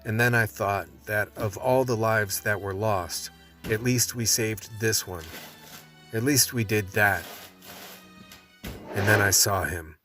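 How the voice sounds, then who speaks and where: A man acting as a tough action hero telling his story in a radio play. His voice is low and the delivery is gritty and plainspoken, like someone recounting a fight he survived. Music runs underneath the narration. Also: takes it slowly, pauses often, pushed and bright, distant mic. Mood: weary, grave.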